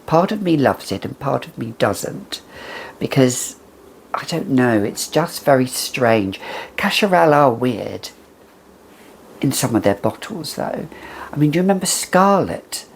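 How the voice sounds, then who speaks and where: Slow-paced perfume vlogger over background noise. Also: mid-range voice, sing-song, gravelly. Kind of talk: casual product review to camera.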